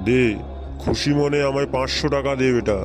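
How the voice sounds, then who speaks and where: A man's voice: mid-range voice, steady tone, a little fast, close to an everyday voice. A man playing a wily, greedy holy-man guru in a comic cartoon, coaxing and repeating himself. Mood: wheedling. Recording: music under the voice.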